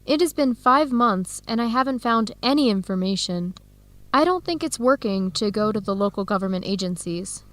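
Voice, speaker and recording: A woman's voice: mid-range voice, expressive, moderate pace, a bit bright, no room sound, natural voice. A young woman acting an earnest, disheartened student in her early twenties in a radio drama, enunciating carefully for language learners. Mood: discouraged. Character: disheartened 22-year-old Ethiopian-American woman student, earnest, torn.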